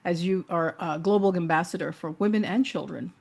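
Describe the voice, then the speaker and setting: A woman's voice: fairly low pitch, a little fast, gravelly, a little mellow. A woman hosting an interview show, introducing her guest in a chatty way with many fillers and restarts. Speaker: talk show host.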